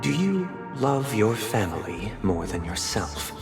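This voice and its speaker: An actor playing a stern, fastidious, pious man of authority in a video game, speaking formally, deliberately and with condescension. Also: fairly low pitch, very animated. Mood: solemn, menacing. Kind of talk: dramatic video game cutscene acting. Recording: long reverb, loud music behind. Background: game soundtrack music.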